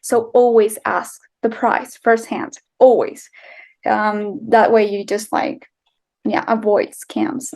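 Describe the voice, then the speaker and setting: A woman's voice: medium pitch, steady tone, slow, darkish tone. A young woman from Colombia with a Colombian accent, answering interview questions in a casual, chatty way full of fillers and restarts. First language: Spanish. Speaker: guest, writer and filmmaker.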